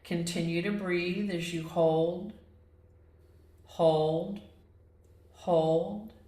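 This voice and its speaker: A woman leading a guided meditation as a yoga nidra teacher, giving gentle, unhurried, inviting instructions with pauses. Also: on the low side, fairly even intonation. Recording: echoey room.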